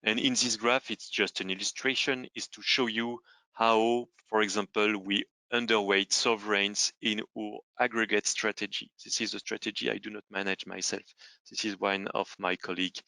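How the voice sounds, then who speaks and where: A man working as a fund manager, explaining slides in a deliberate, emphatic way. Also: lowish voice, little pitch movement, creaky, bright, forceful tone, no room sound, poor audio.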